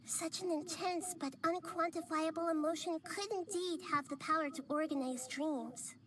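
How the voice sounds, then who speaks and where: A woman voicing a small, wise girl deity in a game, speaking in a gentle, thoughtful, measured way over background music. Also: extremely high pitch, fairly even intonation, a little hiss or hum. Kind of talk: game voice acting.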